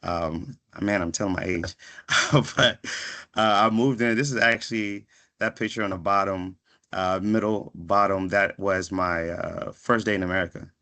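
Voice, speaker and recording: A man's voice: low-pitched, steady tone, normal speed, bright, forceful tone. A man in his thirties from the Washington, D.C. area, born in Ghana, giving a reflective, conversational motivational talk to students, often checking in with "right?". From born in Ghana, raised in Washington, D.C. area from age five. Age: 30s. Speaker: guest speaker, psychiatric pharmacist. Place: online video session.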